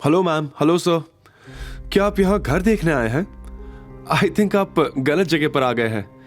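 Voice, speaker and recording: A man's voice: fairly high pitch, lively intonation, measured pace, faint music behind, voice pushed up. A man voicing a saleswoman in an audio drama, falsely polite and condescending.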